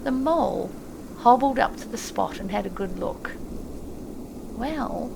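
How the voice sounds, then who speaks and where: A woman's voice: medium pitch, normal speed. A woman narrating an audiobook story expressively from a script. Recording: clearly noisy, ambient effects behind. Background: ambient sound effects.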